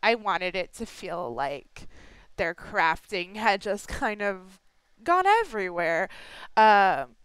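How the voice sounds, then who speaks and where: A woman's voice: mid-range voice, big pitch swings, slow pace, a bit bright, smooth, dry recording. A young woman with a stuffed-up nose giving a rambling, apologetic casual voice-over, full of fillers and restarts, recorded close on a home mic. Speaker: game builder narrating a speed build.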